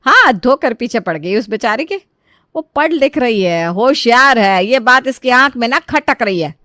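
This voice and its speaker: Older mother-in-law, played by a woman narrator: suspicious, scolding, protective of her daughter, pitched down, family melodrama. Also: expressive, normal speed, pushed and bright, dry recording, clean studio sound. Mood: resentful. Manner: scolding, accusing, taunting.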